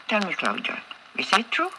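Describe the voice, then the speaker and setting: A woman's voice: medium pitch, huge pitch swings, normal speed, background noise. An actress playing a wealthy middle-aged mother in a Filipino radio drama, doting yet probing, with theatrical delivery. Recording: radio drama with music underneath.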